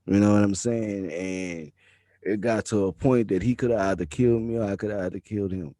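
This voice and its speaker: A man's voice: lowish voice, fairly quick, dark tone, no echo, studio quality. A man in his thirties hosting a podcast interview, talking in a laid-back, slangy way and giving advice like he's preaching. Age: 30s. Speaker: podcast host. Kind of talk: casual interview chat.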